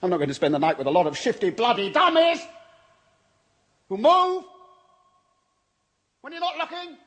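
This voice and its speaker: An actor playing a frightened freelance writer in a radio horror drama, husky-voiced and agitated, swinging between muttering and shouting. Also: male voice, very high-pitched, moderate pace. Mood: angry panic. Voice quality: husky, breathy. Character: frightened male freelance writer, jittery, unravelling overnight among waxworks. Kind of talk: old-time radio horror drama acting.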